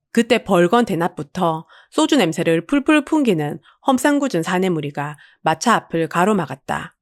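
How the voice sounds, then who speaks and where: Expressive woman narrating a dramatic story. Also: fairly low pitch, no room sound, clean studio sound.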